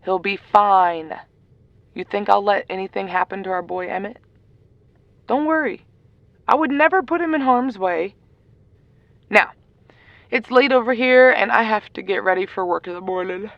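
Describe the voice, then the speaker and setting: Creaky, laid-back girl friend played by an actress. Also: mid-range voice, moderate pace, pauses often, no room sound, muffled recording, lightly acted. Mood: reassuring. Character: laid-back, teasing, reassuring female friend. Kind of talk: audio roleplay acting.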